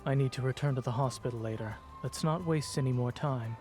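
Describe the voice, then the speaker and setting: Actor as reserved male physician for romance game, terse, deadpan, mid pitch, music behind. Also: brisk, lightly acted. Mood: composed. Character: cool, dry-witted male doctor, reserved and teasing. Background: background music.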